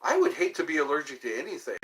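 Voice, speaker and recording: A man's voice: fairly high pitch, sing-song, fast, fairly bright tone. Rambling, joking man in his fifties. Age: 50s. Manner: rambling, joking, self-deprecating. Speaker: livestream host. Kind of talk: casual chat answering viewers.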